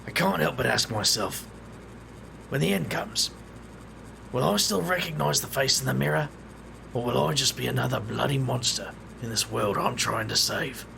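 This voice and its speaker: A man's voice: medium pitch, normal speed, creaky, background noise. A man voicing a brooding, world-weary wizard investigator with a wry, dry way of talking. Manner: wry, brooding, muttering asides.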